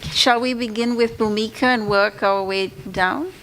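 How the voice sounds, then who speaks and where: A woman moderating a conference panel, briskly keeping the session on schedule. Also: fairly high pitch, fairly even intonation, a bit bright, slight background noise. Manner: brisk, organizing. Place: conference hall.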